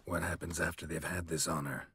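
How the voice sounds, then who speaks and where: A man playing a gruff, stoic, grizzled monster hunter in a fantasy game, terse and deadpan. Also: low-pitched, fairly quick, no room sound, exaggerated voice. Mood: firm.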